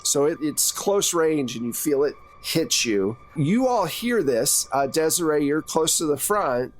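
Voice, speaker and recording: A man's voice: a little high, lively intonation, unhurried. A man speaking as game master, narrating action to players in a conversational way with casual asides.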